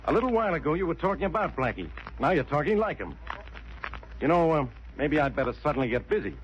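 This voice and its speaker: A dull vintage radio recording with faint hiss. an irritable, dry-witted police inspector who talks quickly in mid-range tones. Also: male voice, a little high, sing-song. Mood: exasperated. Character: testy, sardonic police inspector, easily exasperated. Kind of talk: old-time radio drama acting. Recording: muffled old radio recording, faint noise.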